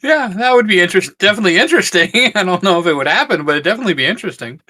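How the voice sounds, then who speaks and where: A man with a fairly high, very bright voice. He talks casually as the co-host of a fan show, sharing opinions in an informal, conversational way. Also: expressive, racing. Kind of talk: casual geek chat.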